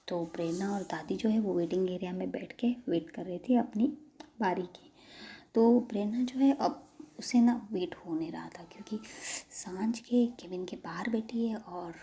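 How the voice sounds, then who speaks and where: A woman tells a story in a soft, half-whispered way. Her voice is mellow and warm, at a middle pitch. The delivery is hushed and intimate. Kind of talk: audio story narration.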